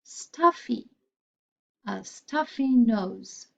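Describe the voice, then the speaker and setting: A woman teaching English vocabulary, repeating each phrase slowly and carefully for learners. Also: a little high, animated, creaky, fairly bright tone, highs cut off. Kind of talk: slow, deliberate vocabulary lesson.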